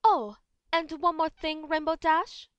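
A woman's voice: high-pitched, steady tone, measured pace, dry recording, highs cut off. A woman voicing a refined, dramatic, doting female unicorn in an amateur audio drama. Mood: polite, protective. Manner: genteel, dramatic.

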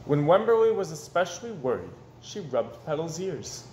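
A man in his thirties reads a children's picture book aloud expressively, with dramatic pauses and emphasis. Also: fairly high pitch, very animated, a little mellow, dull audio. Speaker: storyteller reading aloud to children. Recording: roomy echo, some background noise.

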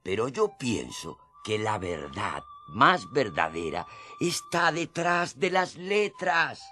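An actor playing a poetic former tax collector turned gospel writer, emphatic and persuasive, in a radio drama. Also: male voice, medium pitch, huge pitch swings, slow pace, pushed and bright, full character voice. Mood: dreamy, poetic.

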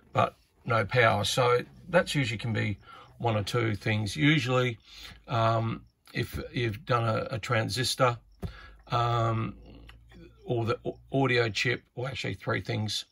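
Husky, gravelly man talking shop. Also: mid-range voice, fairly bright tone. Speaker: radio repair hobbyist presenter. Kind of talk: casual hands-on repair commentary. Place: indoors at an electronics workbench.

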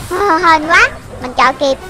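Very high childlike voice, excited game commentary. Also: moderate pace. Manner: childlike, exclamatory, repeats short phrases. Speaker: game video narrator for children. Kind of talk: excited running gameplay commentary. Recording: loud game music over the voice. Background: loud video game music.

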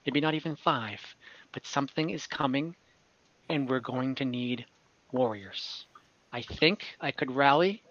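A man playing a scheming adventurer who recruits warriors, speaking earnestly and deliberately in tabletop roleplay. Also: mid-range voice, a bit bright, close to an everyday voice. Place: room at home, on a group video call. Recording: dull online-call audio.

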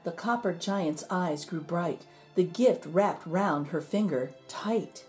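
A woman reading a rhyming children's picture book aloud in an expressive, measured storytelling manner. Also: on the low side, faint music behind. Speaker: storybook reader.

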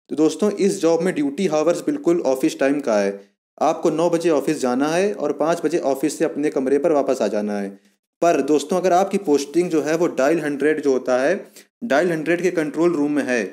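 A man's voice: fairly high pitch, darkish tone. A man giving an informal explainer voice-over, going step by step and repeating key points as he addresses viewers as friends. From India. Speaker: YouTube channel host explaining a police job.

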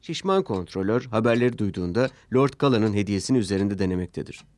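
A man's voice: lowish voice, lively intonation, fast, a little mellow. A man narrating a scripted children's story as the storyteller. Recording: music bed behind narration. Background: music.

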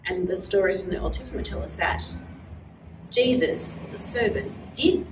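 A middle-aged woman leading a children's church lesson, explaining with animated gestures in a casual teaching chat. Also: medium pitch, normal speed, background noise. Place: small indoor room, standing at a wall. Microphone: far camera. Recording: roomy sound from a distant camera.

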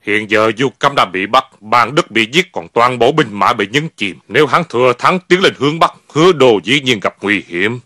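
A man's voice: mid-range voice, lively intonation, moderate pace, hardly any pauses, bright, forceful tone, dry recording, clean studio sound. A man playing a shrewd, wary middle-aged warlord in a dubbed historical cartoon, authoritative and deliberating.

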